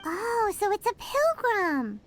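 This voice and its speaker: A woman voicing a tiny, perky, cheeky female fairy companion in a video game, with a squeaky childlike voice and chirpy, blunt delivery. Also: very animated, slow, slight background noise, exaggerated voice. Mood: curious, teasing. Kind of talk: animated video game voice acting.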